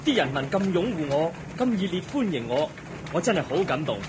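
Young prince male actor, Hong Kong Cantonese radio drama: high-pitched, very animated, earnest and polite, some background noise. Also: a little fast. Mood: excited.

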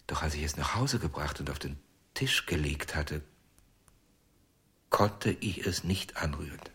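An actor playing a haunted, obsessive ventriloquist in a German radio crime drama, husky and often near a whisper, speaking slowly and haltingly. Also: male voice, a bass voice, full character voice. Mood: haunted, weary. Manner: slow, halting, hushed storytelling. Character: haunted, obsessive male ventriloquist confessing, tender and weary.

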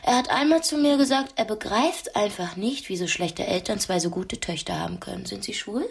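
An actress playing a defiant, scornful young woman held captive, speaking bluntly with a husky voice in a radio drama. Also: medium pitch, animated, fairly quick. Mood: intense, blunt. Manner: blunt, cutting.

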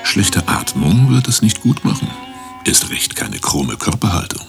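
An actor playing a pompous, philosophising oddball in a satirical radio drama, declaiming with whispery asides. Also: male voice, fairly low pitch, wildly swooping pitch, measured pace, gravelly, soft background music, exaggerated voice. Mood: pompous, musing.

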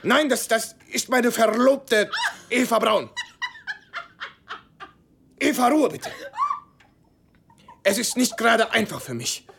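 A weary American army reporter in a radio play. His voice is rather high and gravelly, with a dry, hesitant, deferential manner. There is faint noise behind him. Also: animated, takes it slowly, long pauses, fairly bright tone, heavily acted. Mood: hesitant, awkward. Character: weary wry American army war reporter named John.

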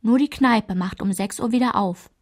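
A woman playing a daughter soberly recounting her childhood in a radio play, measured and restrained, with a breathy, husky voice. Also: on the high side, close to an everyday voice. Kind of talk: literary radio play narration.

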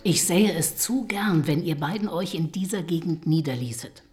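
A woman playing a gentle, affectionate married sister with a soft voice, coaxing in a German radio play. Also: on the low side, animated, normal speed, faint music behind, natural voice. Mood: fond, coaxing. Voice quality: mellow, soft.

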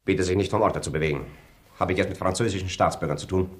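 Radio drama: male actor as an officious wartime German officer, very quick, low, pedantically courteous. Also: close to an everyday voice. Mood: officious courtesy. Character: clipped, stiffly polite German army officer at checkpoint. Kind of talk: radio play acting.

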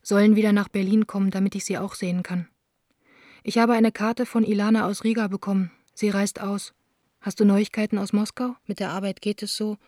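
An actress playing a young woman theatre director in a radio play, reading her letters aloud in a hushed, intimate, understated manner. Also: mid-range voice, flat delivery, fairly quick, no room sound, clean studio sound, close to an everyday voice. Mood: matter-of-fact.

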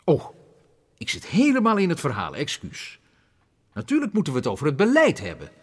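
A man's voice: fairly high pitch, huge pitch swings, brisk, breathy edge, full character voice. A man playing an eager, apologetic government official in a radio drama, recorded in a long echoing reverb.

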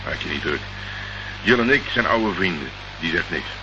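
An actor playing a dry, sardonic private investigator in a Dutch radio play, deadpan and unruffled. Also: male voice, deep voice, moderate pace. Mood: matter-of-fact. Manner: sarcastic, deadpan. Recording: muffled, noisy old radio recording.

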